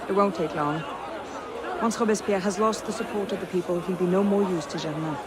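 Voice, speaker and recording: A woman's voice: fairly low pitch, fairly quick, very noisy, close to an everyday voice. Woman playing a bold, decisive young noblewoman avenger. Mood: calculating. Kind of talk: video game cutscene acting. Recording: loud music and crowd under dialogue.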